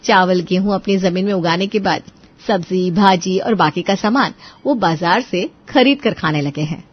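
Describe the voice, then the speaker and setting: A woman's voice: lowish voice, normal speed, dull audio. A woman narrator reading a scripted moral tale in storytelling style.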